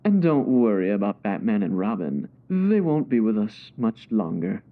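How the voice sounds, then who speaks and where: A man's voice: fairly low pitch, very animated, normal speed, phone-quality sound. A man playing a smug, scheming crime boss in the style of an old radio villain.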